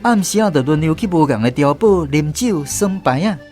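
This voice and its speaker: A young Taiwanese soldier played by a man recounts army life as a radio drama narrator. The voice sits fairly high and moves about in a lively way, with soft music behind. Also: normal speed, lightly acted. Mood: recounting.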